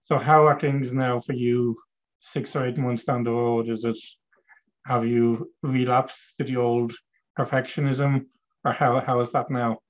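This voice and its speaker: A middle-aged man hosts a coaching webinar, putting calm, measured questions to his client. His voice stays level and flat in pitch, and the video call audio sounds dull and lo-fi.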